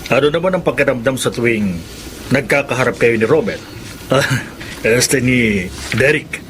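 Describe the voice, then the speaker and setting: An actor playing a servant's respectful, inquisitive brother, conversational and probing, in a Tagalog radio drama. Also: male voice, mid-range voice, normal speed, fairly bright tone, slight background noise, natural voice. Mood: curious.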